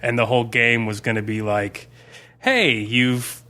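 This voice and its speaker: A man's voice: mid-range voice, flat delivery, measured pace, bright, forceful tone. A man co-hosting a podcast, chatting casually and informally as a game master about a tabletop campaign.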